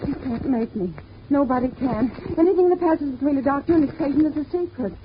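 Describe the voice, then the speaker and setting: A woman's voice: sits high, brisk, natural voice. A woman playing a troubled, well-off young woman, sulky and defensive, in a 1940s radio drama. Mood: defiant. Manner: sulky, defensive, turns pleading. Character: rich troubled young woman, defiant, fragile. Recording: muffled, hissy old radio recording.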